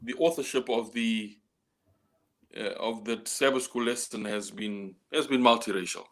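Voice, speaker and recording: A man speaking in a measured discussion, deliberate and halting, often repeating a word before going on. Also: mid-range voice, a bit bright.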